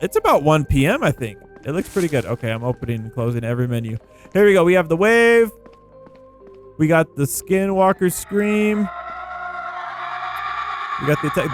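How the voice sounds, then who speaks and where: A man giving casual gameplay commentary, thinking out loud in an informal way. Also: on the high side. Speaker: gaming video creator. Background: background music.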